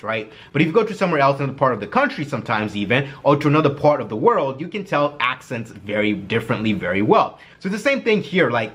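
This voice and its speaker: Chatty young Kenyan man, lively pitch. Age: early 20s. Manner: chatty, animated, self-deprecating humor. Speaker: vlogger. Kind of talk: casual personal storytelling to camera.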